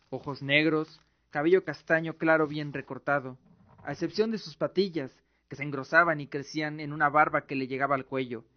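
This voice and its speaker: A man narrating a scripted fantasy radio drama in a solemn, measured way. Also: fairly high pitch. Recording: dull lo-fi recording with music. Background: music bed.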